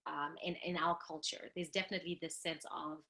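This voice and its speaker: A woman with a low voice speaks as a podcast guest. Her tone is bright and forceful, and she talks with lively enthusiasm. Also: a little fast. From Zimbabwe. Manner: enthusiastic, animated, laughs often. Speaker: guest, nurse practitioner and lactation consultant. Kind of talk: casual podcast interview.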